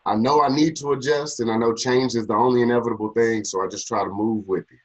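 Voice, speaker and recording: A man hosting an interview over a video call, asking relaxed, friendly questions. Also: mid-range voice, fast talker, low-quality recording. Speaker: host.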